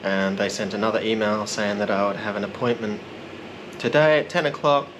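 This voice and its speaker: A man's voice: fairly low pitch, brisk. Rambling man vlogging over a hum. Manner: relaxed, rambling, self-deprecating. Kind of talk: casual vlog narration.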